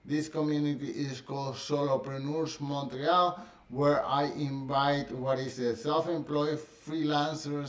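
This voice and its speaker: A middle-aged man whose first language is Spanish talks to camera in a halting, casual way, full of fillers and restarts. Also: a little high. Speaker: vlogger. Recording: echoey room.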